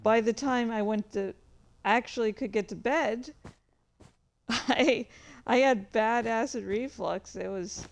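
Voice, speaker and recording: A woman's voice: fairly high pitch, takes it slowly, vocal fry. A woman hosting a casual livestream, rambling and narrating her own actions with exclamations as she plays a game. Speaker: livestreamer and host. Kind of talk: casual livestream chat while playing a game.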